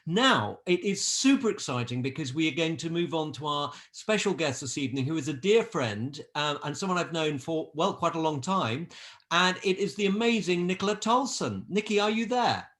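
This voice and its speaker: A man's voice: on the high side, expressive, moderate pace, fairly bright tone. A man hosting an online presentation in an informal, effusive and enthusiastic way. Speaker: host. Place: online group call.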